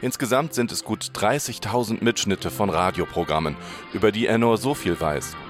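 Male reportage narrator, lowish voice, scripted, light music underneath. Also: normal speed. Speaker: radio reporter.

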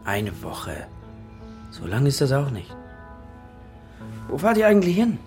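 A probing, sceptical dad in a children's radio drama. He murmurs in short pensive phrases with lively swings of tone, over clear music. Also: medium pitch, unhurried. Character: divorced father, low-key, probing and sceptical. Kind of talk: German children's radio play acting.

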